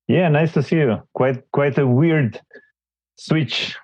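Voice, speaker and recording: A man's voice: fairly high pitch, slow pace, darkish tone, dry recording. A man interviewing his mentor, speaking hesitantly and repeating words as he searches for his phrasing. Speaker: guest interviewer, mentee. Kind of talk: casual interview. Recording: dry, slightly dull recording.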